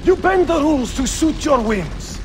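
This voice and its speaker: A man's voice: very animated, moderate pace, darkish tone, a little hiss or hum, heavily acted. An actor playing a proud, bitter young male assassin rival who speaks heatedly and accusingly. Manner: heated, accusing, swings wildly in pitch. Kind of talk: video game cutscene acting. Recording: game score under the dialogue.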